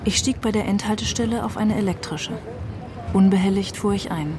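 A woman's voice: mid-range voice, brisk, noisy background. A woman giving a literary reading of a first-person novel. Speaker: reader of literary excerpts. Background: music.

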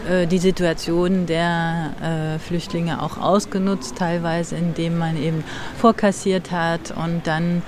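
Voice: medium pitch, level tone, slow pace, few pauses. Local guide, hesitant spontaneous explainer, mid-range voice, recorded outside with noticeable street noise. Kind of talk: informal spoken explanation on location. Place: outdoors in a city quarter. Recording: noisy outdoor city street with traffic.

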